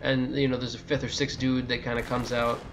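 A man's voice: mid-range voice, level tone, fairly quick, fairly bright tone, soft background music. A man giving a casual, sweary, exasperated video game walkthrough commentary.

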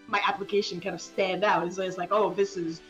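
A woman with a mid-pitched voice chats informally as a guest. Her manner is giggly and wry, often self-deprecating. Light music sits faintly behind her. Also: level tone, fairly quick, fairly bright tone, muffled recording. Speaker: game developer and animator, guest. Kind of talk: casual conversational discussion.

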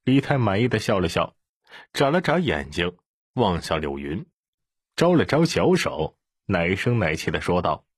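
A man's voice: low-pitched, very animated, normal speed, husky, no room sound, clean studio sound. A man narrating a scripted audiobook story.

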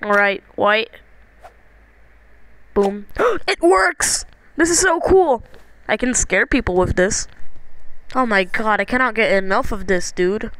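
A woman improvising a cartoon fan dub as an amateur voice-over performer, with an excitable, exclamatory, sweary manner. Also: mid-range voice, slow pace, long pauses. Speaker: amateur voice-over performer dubbing animated shorts. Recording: noisy home recording with clicks.

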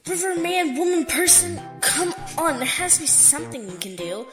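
A woman's voice: very high voice, big pitch swings, moderate pace. A woman voicing an earnest, worried helper who pleads, in a drama. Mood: pleading. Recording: echoey hall, loud music behind.

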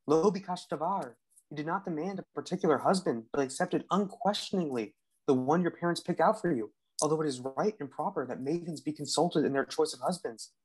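A man's voice: on the high side, husky, rough recording. A man playing an earnest young suitor who pleads and argues, in a stage play reading.

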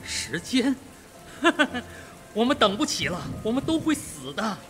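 A man's voice: extremely high pitch, sing-song, takes it slowly, music in the background, heavily acted. A man playing an overwrought, guilt-ridden doctor in a Mandarin radio mystery, fretful in manner. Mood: panicked. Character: panicky, guilt-ridden male doctor, a reformed drunkard.

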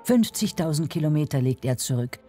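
A woman narrates from a script in a warm, relaxed timbre. Her delivery is measured and uses dramatic pauses, with light music behind her. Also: low-pitched, husky. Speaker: documentary narrator. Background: faint music.

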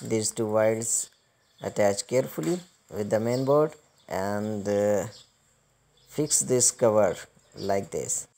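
A man giving step-by-step hands-on repair instructions in a halting, repetitive way. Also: on the low side, creaky, warm tone. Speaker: repair tutorial presenter.

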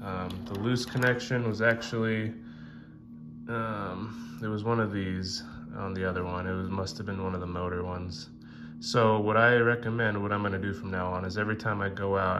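Relaxed Southern man with um-filled talk. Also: fairly low pitch. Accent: Southern American. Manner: relaxed, many ums and uhs. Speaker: fishing channel host. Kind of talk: casual how-to walkthrough. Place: indoor workshop bench. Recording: loud guitar music behind.

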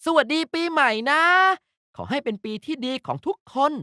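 A woman voicing a cute, energetic, pouty young girl mascot for a card game, playful and emphatic in an anime-like style. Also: high-pitched, moderate pace, dry recording, studio quality. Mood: spirited. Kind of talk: character voice pack lines, anime-like acting.

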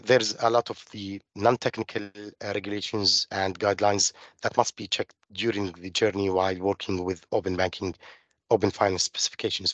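A middle-aged man from Jordan, giving a technical webinar presentation over a video call, halting with many fillers and restarts. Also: on the low side, a bit bright, dry recording. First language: Arabic. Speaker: webinar presenter on open finance.

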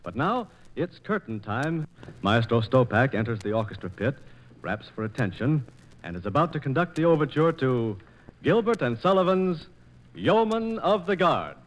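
A man's voice: medium pitch, big pitch swings, normal speed. A man announcing a scripted 1940s radio programme in a grandly formal, theatrical manner. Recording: muffled 1940s radio transcription disc.

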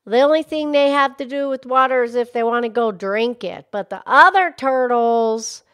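A woman voicing a cheerful, excitable young pig puppet with a childlike, folksy manner in a children's puppet show. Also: a little high, normal speed, smooth, natural voice. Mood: eager, explaining. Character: cheerful, chatty young pig puppet explaining animal facts.

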